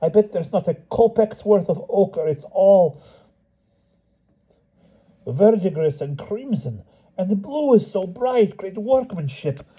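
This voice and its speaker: Man voicing a wide-eyed village blacksmith, high marveling exclamations, dull lo-fi sound. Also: animated, slow pace, long pauses, breathy edge, exaggerated voice. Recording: dull lo-fi recording.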